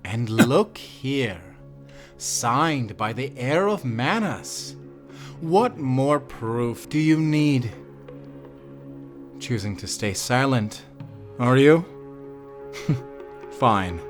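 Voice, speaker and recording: A man's voice: mid-range voice, expressive, extremely slow, pauses often. A man playing a cruel prison warden and torturer in a fantasy audio roleplay, theatrical and commanding. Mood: gloating, taunting. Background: music.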